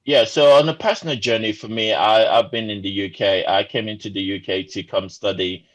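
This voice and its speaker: A man's voice: fairly low pitch, fairly even intonation, a little fast, a bit bright. A man in his forties, originally from Nigeria, answering interview questions earnestly over a remote call, with many fillers and restarts. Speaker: podcast guest. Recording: muffled lo-fi remote call audio.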